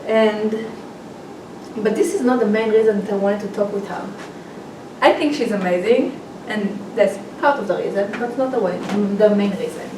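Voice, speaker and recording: A woman from Israel rehearsing a personal speech, halting, with restarts and non-native grammar slips. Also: medium pitch, background noise. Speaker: public speaking student. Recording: roomy, distant mic, background ambience.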